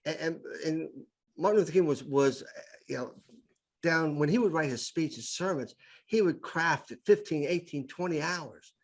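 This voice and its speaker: A man's voice: fairly high pitch, moderate pace. A middle-aged man, a podcast guest, telling stories with enthusiasm and often repeating words as he builds his point. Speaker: podcast guest, improv speaker. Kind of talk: casual conversational storytelling.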